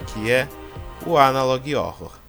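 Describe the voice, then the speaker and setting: A man with a Brazilian accent gives casual explainer commentary, informal and pausing for drama. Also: mid-range voice, lively intonation, creaky. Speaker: video creator and narrator. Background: background music.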